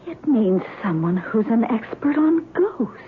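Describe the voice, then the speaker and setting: An actress playing an inquisitive, concerned wife in a 1970s radio drama, with an earnest, questioning manner. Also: female voice, a little high, sing-song, measured pace, a crowd in the background. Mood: curious, uneasy. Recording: muffled 1970s radio broadcast.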